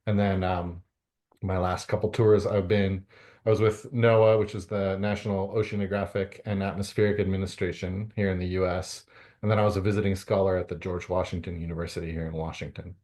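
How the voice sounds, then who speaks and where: Man, low voice, middle-aged, hesitant, lots of ums and restarts. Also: little pitch movement, a little fast, a little mellow. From Canada. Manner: hesitant, many fillers and restarts. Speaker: guest author. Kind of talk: conversational interview answers.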